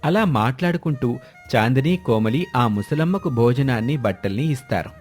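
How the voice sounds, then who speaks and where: A man's voice: mid-range voice, lively intonation, normal speed, darkish tone, husky. Man narrating a scripted story over music.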